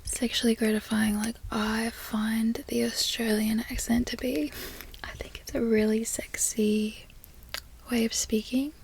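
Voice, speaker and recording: A young woman from Florida whispering softly in a chatty, rambling way, as a relaxed ASMR talk. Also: a little high, takes it slowly, vocal fry, a little hiss or hum. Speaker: ASMR creator.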